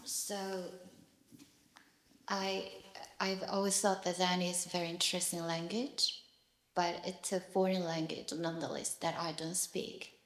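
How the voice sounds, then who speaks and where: A woman's voice: lowish voice, little pitch movement, measured pace, roomy sound. A woman with a Korean accent, a native Korean speaker, asking her teacher a question, hesitant and searching for words. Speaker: retreat participant asking a question.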